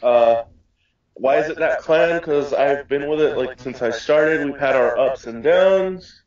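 A man's voice: medium pitch, unhurried, darkish tone. A twenty-year-old man chatting casually in a group, blunt and joking. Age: 20s. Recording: echoey room, distant mic, dull sound.

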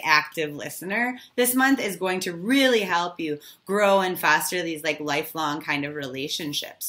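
A woman in her thirties, slightly hoarse from losing her voice, giving a casual, chatty tarot reading straight to viewers. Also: mid-range voice, pushed and bright. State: recovering from a lost voice, slightly hoarse. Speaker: tarot reader and video host.

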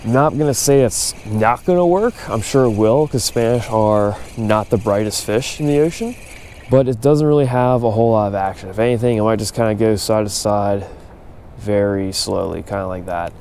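A man's voice: lowish voice, moderate pace, a little hiss or hum. A young man talking casually to an action camera while fishing from a kayak out on the open sea, explaining his technique. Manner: excitable, chatty, explains technique. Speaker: fishing vlogger.

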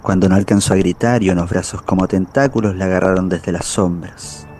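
Very low-pitched grave male storyteller voiced by an actor. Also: fairly quick, darkish tone, close to an everyday voice. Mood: grave, indignant. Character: grave, indignant male storyteller witnessing a crime. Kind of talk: literary dramatic narration. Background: music.

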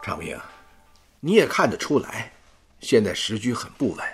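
A man's voice: fairly low pitch, sing-song, moderate pace, vocal fry. An actor playing a kindly, worldly older father in a radio drama, with a folksy, avuncular manner. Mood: concerned, persuasive. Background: music.

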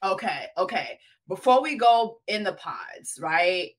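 A woman's voice: mid-range voice, unhurried. A woman interviewing a guest in a playful, chatty way. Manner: playful, expressive questioning. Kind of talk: casual interview chat.

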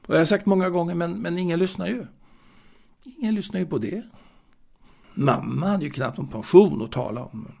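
An actor playing a lonely, melancholy ageing waiter in an intimate audio drama monologue, halting and reflective, repeating himself and pausing. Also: male voice, high voice, creaky, darkish tone. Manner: halting, reflective, fussy, with repetitions and pauses. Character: lonely, melancholy ageing waiter reminiscing about his late mother.

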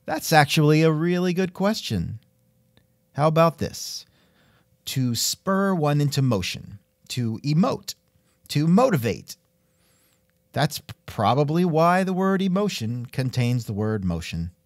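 A man hosts a comedic interview show with a wry, joking delivery. His pitch swings around animatedly, and the sound is dry and close. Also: big pitch swings, unhurried, no echo. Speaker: podcast host and interviewer.

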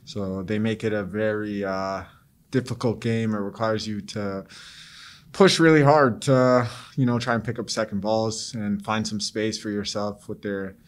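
A young man with a lowish voice gives post-game answers at a press conference. He speaks in a measured, steady way, often pausing on fillers. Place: press room before a sponsor backdrop.

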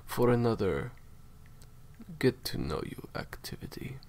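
A man's voice: medium pitch, sing-song, unhurried, darkish tone, a little hiss or hum. A man playing a menacing, cold villain in an audio roleplay, speaking in formal, theatrically polite threats. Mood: quietly threatening. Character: menacing, courteous male villain, cold captor. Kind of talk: roleplay audio drama monologue.

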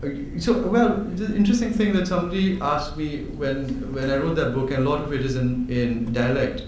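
Guy from india on a book panel, excited, restarts a lot, big echoey hall, faint hiss. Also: on the high side, a little fast. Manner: lively, restarting, enthusiastic. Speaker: panelist author. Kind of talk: panel discussion. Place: event hall.

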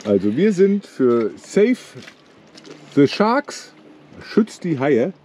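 A man's voice: very animated, very slow, mellow. A man in his sixties narrating a casual sailing vlog from a boat in a lagoon, teasing his partner as he films. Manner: wryly teasing, swings widely in pitch. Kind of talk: casual running commentary while filming. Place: outdoors on a sailboat in a lagoon. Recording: outdoor wind and water noise.

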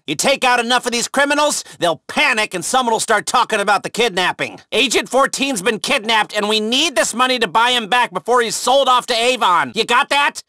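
Video game mission handler, sardonic, chatty, rambling asides, higher-pitched male voice, bright, close dry sound. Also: no room sound. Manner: wry, hesitant, rambling asides.

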